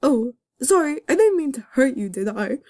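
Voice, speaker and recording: Woman playing a male cartoon fox, raised pitch, cocky, sappy, teasing, melodramatic, effusive comic reading. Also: sing-song, moderate pace, darkish tone. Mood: worried.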